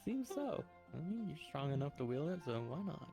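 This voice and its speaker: Male roleplay newcomer, animated intonation, casual slangy delivery, music clearly behind. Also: on the high side, fairly quick. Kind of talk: casual in-character chat in an online roleplay.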